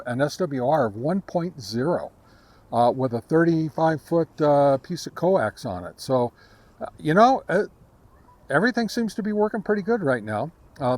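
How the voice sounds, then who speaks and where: A man hosting a casual on-location gear test, talking to the camera in a halting, conversational way. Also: a little high, a little mellow. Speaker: radio hobbyist reviewer and host. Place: outdoors, on a back deck and in a park.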